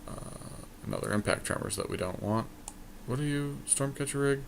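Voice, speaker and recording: A man's voice: medium pitch, moderate pace, creaky, background noise. A man co-hosting a casual card game draft commentary, thinking aloud as he weighs each choice. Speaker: podcast co-host and player making card picks.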